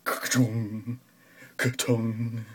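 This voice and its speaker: A man's voice: medium pitch, monotone, extremely slow, a little hiss or hum. A man in a goofy, theatrical read-aloud, making sound effects with his mouth.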